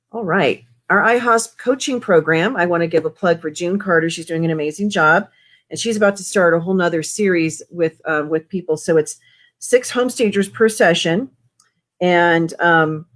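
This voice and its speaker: Chatty, encouraging woman host. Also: lowish voice. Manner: chatty and encouraging, many fillers. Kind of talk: live show announcements.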